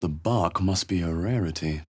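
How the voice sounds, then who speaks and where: A man voicing a wary, observant fantasy adventurer hero in a video game, with a husky voice and a wry, measured delivery. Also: low-pitched, darkish tone. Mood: curious, musing. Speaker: video game player character. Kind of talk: video game voice lines, short reactive remarks.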